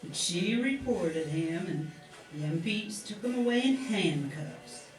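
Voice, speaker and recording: A woman's voice: lowish voice, lively intonation, slow pace. A woman playing a sociable, chatty wartime factory worker in a 1940s-set radio play. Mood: matter-of-fact. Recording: echoey room, distant mic, music behind.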